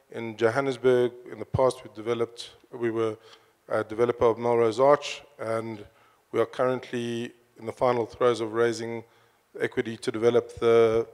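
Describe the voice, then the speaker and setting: A man's voice: medium pitch, a little mellow. A businesslike man introducing himself as a panelist at a conference, speaking into a handheld mic. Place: large conference hall. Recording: long hall reverb.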